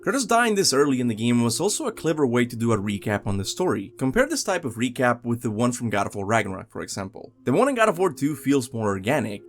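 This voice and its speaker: A young man narrating a scripted video game countdown as host, with music behind him. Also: medium pitch.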